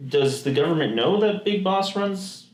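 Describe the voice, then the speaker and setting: A man's voice: high voice, expressive, moderate pace. Man, casual podcast chat, rambling, roomy echo. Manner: rambling, many fillers and restarts. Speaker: podcast co-host. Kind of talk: casual chat about video games. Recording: echoey room.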